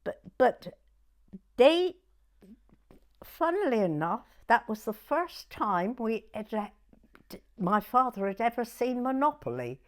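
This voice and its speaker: Very old english lady from the south, reminiscing slowly, high-ish voice that swoops around a lot. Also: very animated. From southern England. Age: 90s. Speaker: oral history interviewee. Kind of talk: personal reminiscence in conversation.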